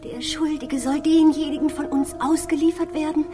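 Casting: woman as malicious female bird, extremely high and shrill, clucking and taunting, radio fantasy with loud music. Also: fairly even intonation, moderate pace, lightly acted. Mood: pronouncing judgement. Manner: taunting, exclamatory. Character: spiteful chattering female bird of the sun's bird kingdom. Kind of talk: surreal radio play acting.

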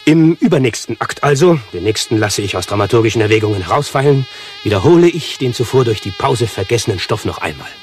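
An actor playing a pompous, self-important avant-garde playwright in a satirical radio play, speaking in long-winded, declamatory sentences with precise diction. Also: male voice, mid-range voice, expressive, quick pace, faint music behind, natural voice. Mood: self-important, enthusiastic.

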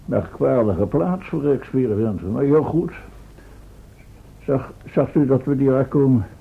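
A man's voice: medium pitch, creaky. A male actor playing an eager, inquisitive professor who bosses his companions in a radio play, on a tinny, noisy recording. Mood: brisk, commanding. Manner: brisk, commanding, inquisitive.

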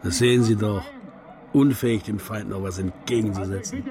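An actor playing a defeated East German secret police colonel in a radio drama, resigned and scornful. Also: male voice, on the low side, steady tone, moderate pace, over a noisy crowd, natural voice. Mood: bitter resignation.

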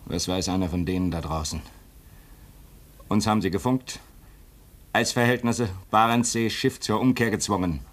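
A man's voice: lowish voice, moderate pace, long pauses, natural voice. An actor playing a restless, sarcastic younger man who is new to a polar station. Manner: sarcastic, agitated. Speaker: radio drama actor.

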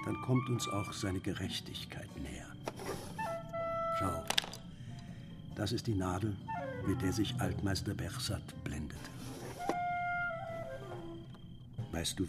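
Solemn old master painter, hushed endings. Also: male voice, on the low side. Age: older. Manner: measured, dropping into near whispers. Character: old master miniaturist, blinding himself, solemn and devout. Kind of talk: literary radio drama, first-person narration and dialogue.

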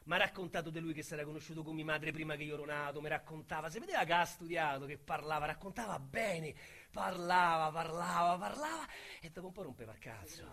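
A foul-mouthed Roman suburb youth. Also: male voice, a little high, animated, quick pace, creaky, full character voice. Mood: mocking. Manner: crude slangy storytelling with sudden shouted outbursts. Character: coarse young man from the outskirts, bitter and foul-mouthed. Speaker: narrator of a radio monologue. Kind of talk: radio drama monologue.

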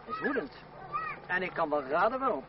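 An actor playing a bold, self-assured young lover in a radio play, with a brisk theatrical delivery. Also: male voice, high-pitched, very animated. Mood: animated. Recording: dull, noisy old radio recording, distant.

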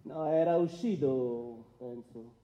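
An actor playing a crude, evasive male defendant with a Roman accent, halting and colloquial, in a courtroom radio drama. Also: mid-range voice, warm tone, lightly acted. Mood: defensive. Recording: dull lo-fi sound.

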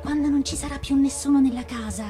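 A woman voicing a wicked cobra wife in a storybook, plotting in a hushed, conspiring way. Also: high-pitched, fairly even intonation, quick pace, over music, pitched above the performer's own voice, close to an everyday voice.

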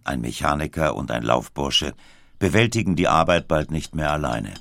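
Deep, gravelly male documentary narrator. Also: a bit bright, no echo, clean studio sound. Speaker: audiobook narrator.

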